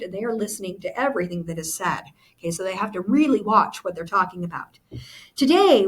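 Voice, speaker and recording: A woman teacher reading a novel aloud to students, expressively, pausing to explain and check that they follow. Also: medium pitch. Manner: expressive, instructive, checks understanding with rhetorical questions. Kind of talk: classroom read-aloud with explanatory asides to students.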